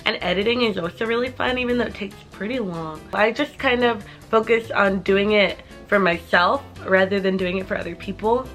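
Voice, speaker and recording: A young woman in her twenties chats straight to her camera, answering viewer questions one after another. She rambles freely and drops "like" into most sentences. Her pitch sits in a typical middle range, and faint music plays underneath. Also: brisk, few pauses, a bit bright. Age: 20s. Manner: chatty, rambling with many "like" fillers. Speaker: vlogger. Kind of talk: casual Q&A chat to camera.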